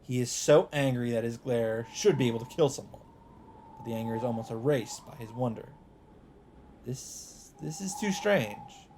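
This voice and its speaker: A man narrating a visual novel as a let's play host, reading the text aloud in a deliberate, emphatic, dramatic style. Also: mid-range voice, animated, darkish tone, a little hiss or hum.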